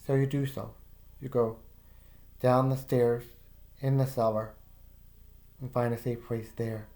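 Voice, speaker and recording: Slow, gravelly male guide. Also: medium pitch. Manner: calm, soft, second-person storytelling with casual fillers. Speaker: guided imagery narrator. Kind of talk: slow guided visualization narration.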